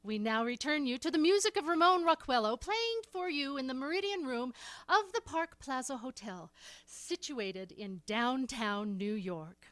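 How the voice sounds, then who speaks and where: A woman's voice: sits high, lively intonation, a little slow, a bit bright, dry recording. A woman playing a radio announcer reading news bulletins in a radio drama, formal. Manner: formal, crisp bulletin delivery. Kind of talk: 1930s radio drama acting.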